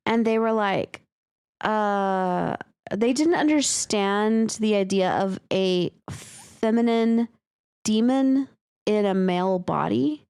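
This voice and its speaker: A woman's voice: mid-range voice, takes it slowly, a little mellow, clean tone, no echo. Casual woman with a dry, close sound. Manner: candid, sweary. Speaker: podcast co-host and writer. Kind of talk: casual story discussion.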